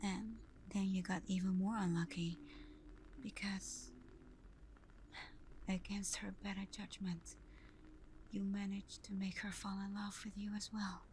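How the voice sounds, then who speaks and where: A woman playing a tender, devoted vampire girlfriend in an ASMR roleplay, speaking intimately in a soft, breathy whisper with gentle pauses. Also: medium pitch, level tone, a little hiss or hum, close to an everyday voice, poor audio. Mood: devoted, earnest.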